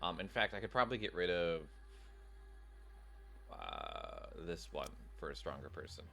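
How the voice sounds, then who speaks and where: A man's voice: deep voice, huge pitch swings, normal speed, vocal fry, bright, forceful tone, faint music behind. A man giving casual live commentary as a game streamer.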